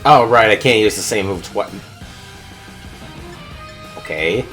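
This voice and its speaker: A man's voice: fairly low pitch, slow pace, fairly bright tone, faint music behind. A man hosting a gaming video with casual, chatty and playful gameplay commentary.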